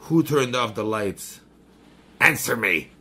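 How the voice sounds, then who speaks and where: An actor playing a menacing male mystic villain in a 1930s-style radio drama, courtly and slow, then threatening. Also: mid-range voice, lively intonation, background noise. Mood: agitated.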